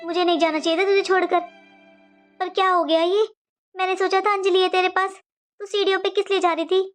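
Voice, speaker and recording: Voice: very high-pitched, flat delivery, fairly quick, fairly bright tone, faint music behind. A performer voicing an anxious, morally stern middle-aged mother in a cartoon moral story, emotional and reproachful. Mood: remorseful. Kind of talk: animated moral story dialogue.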